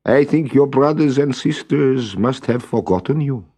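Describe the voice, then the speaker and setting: A man's voice: medium pitch, unhurried, dark tone, husky, voice pushed up. A man voicing a rich merchant, fond and puzzled, in earnest storybook dialogue. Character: rich Persian merchant, fond and puzzled male bird owner.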